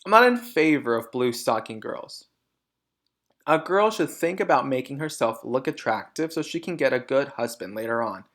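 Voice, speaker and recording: A man's voice: mid-range voice, expressive, moderate pace, fairly bright tone. A man voicing a vain, smug, scornful mother in a storybook, with brash and emphatic phrasing.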